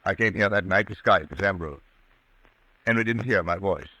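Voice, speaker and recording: A man's voice: low voice, moderate pace, bright, forceful tone, no room sound, low-quality recording. An actor playing a tense, emphatic man caught up in a murder-mystery showdown, in 1940s radio style. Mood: confessing. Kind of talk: 1940s radio drama acting.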